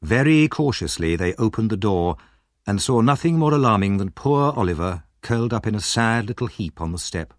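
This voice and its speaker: A man narrating a scripted story as an audiobook reader, measured and dramatic. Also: lowish voice, expressive, no echo, clean studio sound.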